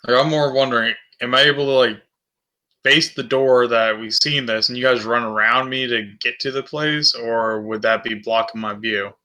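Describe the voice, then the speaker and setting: A man's voice: medium pitch, steady tone, moderate pace, pushed and bright. A man chatting casually as a player in a tabletop role-playing game. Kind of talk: casual chat during a game.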